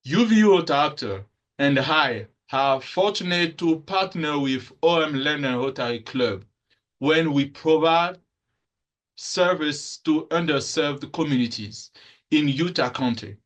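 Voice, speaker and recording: A young man from the Republic of Congo, a student speaker, giving a prepared formal speech in a measured way with occasional restarts. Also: on the high side, animated, a bit bright.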